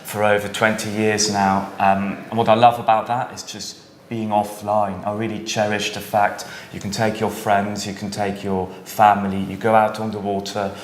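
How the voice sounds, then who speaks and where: A man's voice: lowish voice, level tone, normal speed, slight background noise. A man from Switzerland in his thirties, a startup founder answering interview questions in a thoughtful way, with many fillers and tag questions. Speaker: startup founder and CEO guest. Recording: echoey room with faint ambience.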